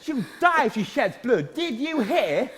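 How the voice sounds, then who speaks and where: A man's voice: extremely high pitch, unhurried, exaggerated voice. Man playing a blustering panto king, wildly swooping voice. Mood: exasperated. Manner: blustering, excitable, stammering. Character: blustering, exasperated, hapless comic king. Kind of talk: broad pantomime stage comedy. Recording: faintly noisy live stage recording.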